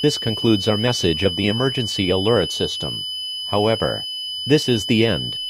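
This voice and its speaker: Male announcer for mock emergency alert, lowish pitch, scripted read, buried in loud buzzing noise. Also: lively intonation, moderate pace.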